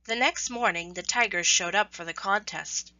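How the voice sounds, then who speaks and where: A woman reads a children's story aloud in scripted narration, with expressive, deliberate phrasing. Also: mid-range voice, no echo, lo-fi sound.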